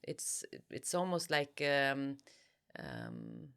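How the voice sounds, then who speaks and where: A woman's voice: very deep voice, huge pitch swings, gravelly, a bit bright, smooth. A woman giving reflective interview answers as an author, searching for her words and repeating phrases. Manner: halting, searching for words with repetitions.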